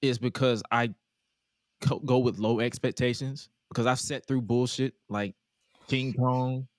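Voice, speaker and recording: A man's voice: medium pitch, steady tone, a little slow, no room sound, clean studio sound. A man in his twenties hosting a podcast in a loose casual chat, profane and repeating himself. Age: 20s. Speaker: podcast host.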